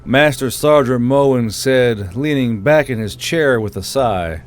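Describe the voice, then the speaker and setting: A man's voice: medium pitch, animated, slow pace. Man narrating a fantasy audiobook.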